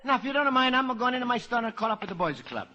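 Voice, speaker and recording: An actor playing a gentle, easily alarmed Italian immigrant shopkeeper in broken English with an Italian accent, in old-time radio comedy style. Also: male voice, very high voice, expressive, fast, lo-fi sound, crowd noise. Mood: worried. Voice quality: broken English with added vowels. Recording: very noisy old radio recording.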